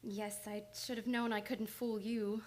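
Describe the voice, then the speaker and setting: A woman's voice: mid-range voice, little pitch movement, measured pace, darkish tone, lightly acted. A woman playing an earnest, devoted analyst in a radio drama, insistent and pleading in urgent, emphatic phrases. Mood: concerned. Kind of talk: old-time radio drama acting.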